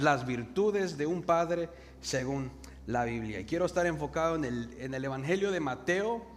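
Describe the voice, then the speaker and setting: A young man from El Salvador with a Salvadoran Spanish accent, preaching a sermon and calling the congregation to repeat words after him. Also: on the high side. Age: young adult. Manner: calls the congregation to repeat words, slows for emphasis. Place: church hall. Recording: reverberant hall with faint noise.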